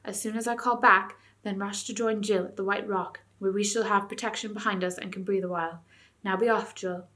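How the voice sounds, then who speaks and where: A woman voicing a stern, noble king giving calm, old-fashioned commands. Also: mid-range voice, brisk, natural voice. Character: stern, noble, hopeless king, grown man.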